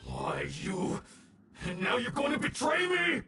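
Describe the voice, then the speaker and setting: A man voicing a smug, scheming villain in a video game, theatrical, drawn-out and excitable. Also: very high voice, heavily acted. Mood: flustered, outraged. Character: scheming, smug male villain, easily flustered and ruthless. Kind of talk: dramatic game voice acting. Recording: roomy, distant sound with background music.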